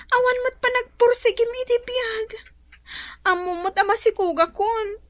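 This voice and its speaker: An actress playing a weary, reproachful young wife in a radio drama, plaintive and nagging. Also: female voice, extremely high pitch, normal speed, no echo, clean studio sound.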